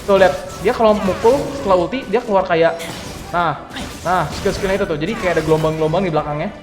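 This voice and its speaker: A young man streaming a video game, giving chatty, excitable commentary. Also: high-pitched, very fast, echoey room, big-room sound, over music. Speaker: gaming streamer. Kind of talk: casual let's-play commentary.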